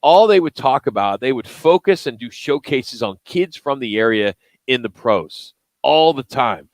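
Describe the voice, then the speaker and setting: A middle-aged man from the Philadelphia area talks sports casually as a radio co-host. His voice sits at an ordinary male pitch, recorded close and dry, and he presses his opinions with insistence. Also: expressive, unhurried, dry recording, rough recording. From Philadelphia and South Jersey area, USA. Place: live remote radio broadcast at a car dealership.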